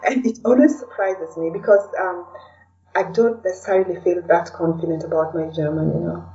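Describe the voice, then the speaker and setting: Mellow, low-pitched Kenyan woman, slightly distant. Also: fairly even intonation, fairly quick, slight background noise. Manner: reflective, hesitant, often adding "you know". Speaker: podcast guest, blogger and podcaster. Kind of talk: conversational interview answers. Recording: distant mic, dull lo-fi audio.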